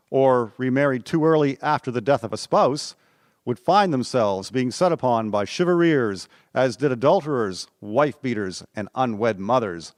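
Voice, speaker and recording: Male narrator, medium pitch, scripted history narration, dry studio sound. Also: no echo.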